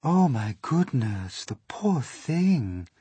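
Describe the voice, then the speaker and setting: A man voicing a kindly, motherly female ladybird in a storybook, speaking in a gentle, reassuring manner. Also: mid-range voice, sing-song, slightly rough, no echo, voice pushed up, full character voice. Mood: pitying. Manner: gentle, soothing, unhurried.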